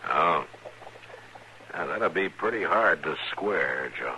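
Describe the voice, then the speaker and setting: An actor playing a steady, stern American frontier marshal, laconic and firm, in old-time radio western style. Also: male voice, a bass voice, slow pace, heavily acted. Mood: measured, firm. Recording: noisy old radio drama recording.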